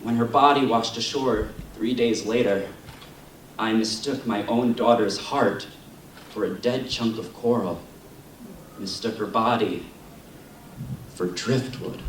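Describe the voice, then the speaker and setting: A man reciting dramatic poetry on stage in a deliberate, solemn manner. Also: lowish voice. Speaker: slam poet. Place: live poetry slam stage. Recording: echoey hall, distant mic, faint noise.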